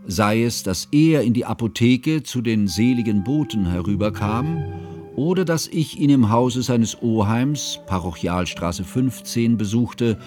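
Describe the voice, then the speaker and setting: An actor playing a bookish, self-conscious scholar who recalls his youth in measured, ornate literary narration for a radio play. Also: medium pitch, animated, mellow, soft background music, lightly acted. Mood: reflective, scrupulous. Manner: measured, formal, ornate phrasing. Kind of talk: literary first-person narration, German radio drama.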